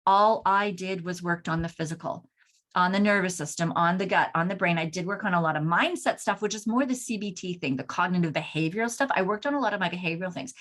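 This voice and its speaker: A woman's voice: on the low side, a bit bright. A woman in her forties hosting a solo podcast, talking candidly and earnestly about her own experience, often restarting her sentences. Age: 40s. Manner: candid, earnest, self-reflective, with frequent restarts. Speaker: podcast host.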